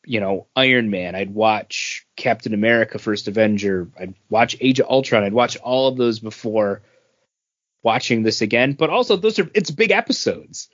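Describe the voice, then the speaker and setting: A man's voice: lowish voice, expressive, moderate pace, no room sound, muffled recording. Casually rambling man, close dry sound. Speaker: podcast co-host. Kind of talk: casual opinion chat about movie rankings.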